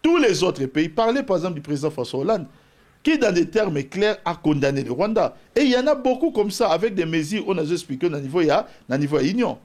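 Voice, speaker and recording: A man's voice: high voice, quick pace. A man from the Congo with a Congolese accent, a political guest arguing his case in a debate and quoting scripture. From DR Congo, Bas-Congo. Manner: animated, argumentative, cites scripture.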